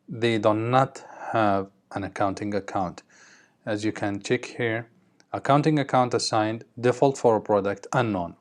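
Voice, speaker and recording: A man with a fairly low voice teaches a software procedure. He talks through each step in an instructional way, pausing to check that the listener follows. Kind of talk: screen-recorded tutorial, explaining step by step.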